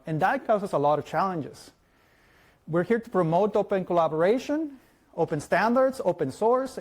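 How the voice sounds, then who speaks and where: A man in his thirties with a Mexican Spanish accent, a native Spanish speaker, giving a fast, lively conference talk and often asking the audience questions. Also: high-pitched. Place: large lecture hall.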